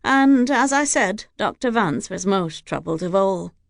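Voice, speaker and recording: A woman's voice: mid-range voice, animated, a little slow, gravelly, no echo, clean studio sound. A woman voicing a loyal old-fashioned housekeeper. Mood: earnest. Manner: deferential to the lord, formal and old-fashioned speech. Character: older female housekeeper, devoted, stiff with outsiders.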